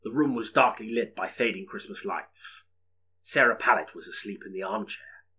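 A man's voice: medium pitch, sharp tone, slight background noise, poor audio. A man narrating an audiobook story in a dramatic, scripted storytelling style.

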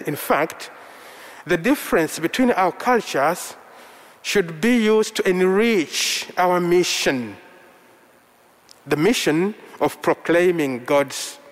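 A man from Uganda whose first language is Luganda, preaching a sermon in slow, measured short phrases. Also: sits high. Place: church. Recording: long reverb of a large hall.

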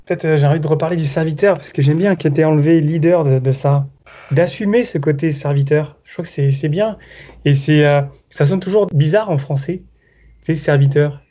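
A man's voice: on the high side, steady tone, brisk, warm tone, muffled recording. A man hosting a podcast, speaking French as a second language, musing aloud and searching for his words. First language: not French. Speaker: podcast host. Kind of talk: casual podcast conversation.

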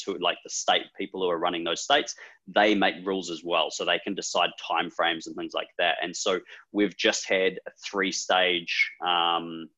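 Kiwi guy hosting a podcast over a call, low voice, kinda muffled lo-fi sound, rambles a bit with ums. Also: bright, forceful tone. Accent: New Zealand. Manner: thoughtful, rambling with fillers. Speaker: podcast host. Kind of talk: casual conversational podcast.

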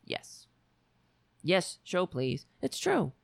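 A woman hosting a political livestream with a worn, raspy voice, talking bluntly and sarcastically while reading the chat. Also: lowish voice, wildly swooping pitch, very slow, no room sound, clean studio sound. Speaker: livestream show host.

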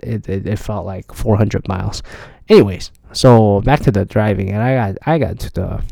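A man's voice: lowish voice, creaky, warm tone, dry recording. A tired man talking casually in a rambling, profane solo podcast, with many restarts. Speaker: podcast host. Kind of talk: casual solo travel chat.

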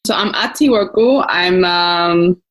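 A young woman from Ethiopia answers interview questions in a relaxed, rambling way. Her voice sits a little low, and she pauses often with fillers and restarts her sentences as she thinks. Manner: thoughtful, many fillers and restarts. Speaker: founder, guest. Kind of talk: casual interview talk.

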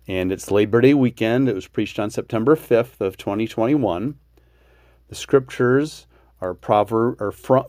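An American man, a preacher, introducing a sermon podcast and praying in measured, deliberate phrases. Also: lowish voice, darkish tone, no echo, clean studio sound.

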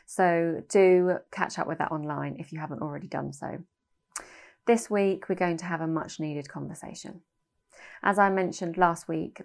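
Low, creaky-voiced British woman. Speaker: church host introducing a guest. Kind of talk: prepared address to a congregation.

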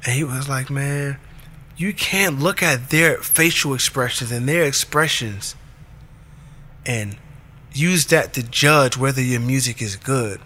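A man with a raspy voice hosting his own show, delivering an emphatic motivational monologue in short punchy commands. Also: medium pitch, a little slow, pushed and bright. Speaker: podcast host.

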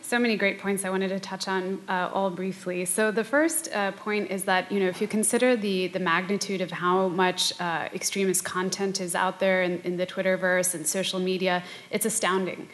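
A woman's voice: medium pitch, flat delivery, moderate pace, few pauses, a bit bright. A woman speaking unscripted on a panel, articulate and explanatory, laying out her points in order. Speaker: panelist, community organizer.

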